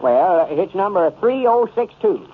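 Self-important old country fellow, tinny radio. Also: male voice, high voice, very animated, measured pace, a little hiss or hum. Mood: officious. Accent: Southern US rural. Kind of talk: 1930s radio comedy acting.

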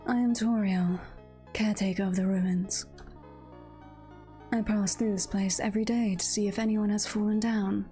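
A woman voicing a gentle, motherly monster caretaker from a video game, speaking softly and formally to soothe. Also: medium pitch, steady tone, moderate pace, long pauses, faint music behind, lightly acted. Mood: soothing.

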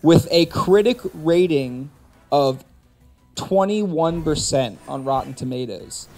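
A man with a fairly high voice hosts a gaming and movie stream. He talks casually and emphatically, building up his points, with some music playing behind him. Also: lively intonation, slow pace, darkish tone. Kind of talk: casual opinionated chat. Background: background music.

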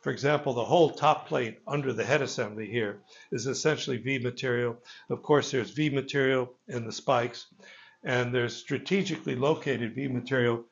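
An older man giving an informal product presentation in a gravelly voice, with halting, hesitant phrasing, recorded on a phone a few meters away. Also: medium pitch, dull audio. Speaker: loudspeaker company presenter. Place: carpeted listening room with stone wall, indoors. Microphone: phone camera a few meters away.

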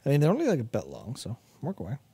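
A man's voice: mid-range voice, wildly swooping pitch, racing, dark tone, slightly rough. A man casually reacting to game cutscenes as a co-host, jokey and sardonic, sometimes sweary.

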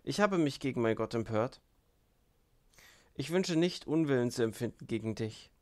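A man's voice: medium pitch, animated, normal speed, close to an everyday voice. Man playing an earnest, solemn young man. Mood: solemn, moved. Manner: solemn, firm, heartfelt. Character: gentle, earnest, devout young man, quietly sincere.

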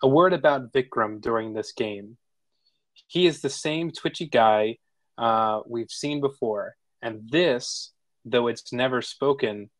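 A man narrates the action of a screenplay at a table read. His voice is medium in pitch, and the sound is very dry, with no room to it. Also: no echo.

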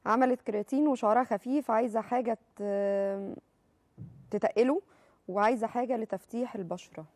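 A woman in her thirties with an Egyptian accent hosting a TV talk show, reading viewer messages and taking calls briskly. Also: on the high side, a little mellow, no echo. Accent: Egyptian Arabic. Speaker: TV host. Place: TV studio.

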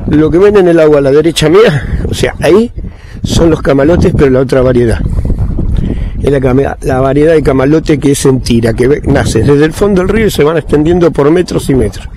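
A man's voice: fairly high pitch, a little fast. Man narrating from a kayak, noisy outdoors. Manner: chatty, points things out to viewers. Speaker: vlogger kayaking. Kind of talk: casual narration to viewers while paddling. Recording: outdoor camera audio, clear background noise. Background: water and paddling sounds.

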